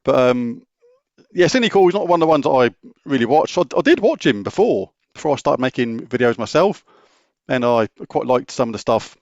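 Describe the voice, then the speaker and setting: A middle-aged man with a British accent chats through viewer questions in a matter-of-fact, rambling way, often restarting his sentences. His voice has a gravelly edge. The recording is dry and close, with a slightly dull, lo-fi tone. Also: medium pitch, big pitch swings, a little fast, darkish tone, no room sound. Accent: British English. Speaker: YouTube gaming channel host answering viewer questions. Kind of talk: casual Q&A chat.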